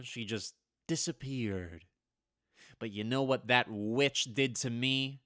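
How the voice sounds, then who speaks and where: A man's voice: fairly high pitch, sharp tone, no echo, clean studio sound, full character voice. An actor playing an anxious, henpecked history professor in a vintage radio drama, stammering as he confides in the listener. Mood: weary, rueful.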